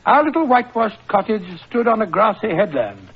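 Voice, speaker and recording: An actor playing a middle-aged British doctor, a detective's easily rattled companion, in old-fashioned radio drama style. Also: male voice, high-pitched, sing-song, brisk, slightly rough, full character voice. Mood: grave reminiscing. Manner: blustery, old-fashioned storytelling. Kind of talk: 1940s radio drama acting. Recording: muffled old-time radio recording.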